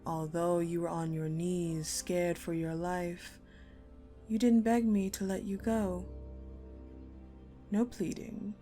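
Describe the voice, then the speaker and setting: Casting: actress for cruel, commanding female general, dark roleplay audio; slightly low voice, very measured delivery, mockingly sweet, faint music underneath. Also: little pitch movement. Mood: probing, condescending. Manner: deliberate, condescending, mocking, with dramatic pauses. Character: sadistic, coldly menacing ice-queen general, commanding and mockingly sweet. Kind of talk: dark audio roleplay acting, addressed to the listener.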